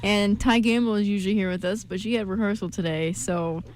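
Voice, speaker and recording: A woman's voice: medium pitch, steady tone, fairly quick, darkish tone, poor audio. A woman hosting a radio show in a casual, chatty style. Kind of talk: casual chat.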